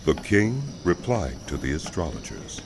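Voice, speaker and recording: A man giving solemn, measured, scripted dramatic narration of scripture as a storyteller. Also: rumbling low, very animated, noisy background.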